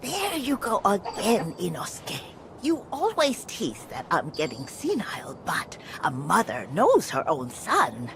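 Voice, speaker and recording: A woman voicing a frail, senile old mother who speaks softly and dotingly, in game audio with ambient noise. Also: fairly low pitch, wildly swooping pitch, unhurried, heavily acted. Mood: doting, confused. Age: older. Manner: soft, wandering, doting.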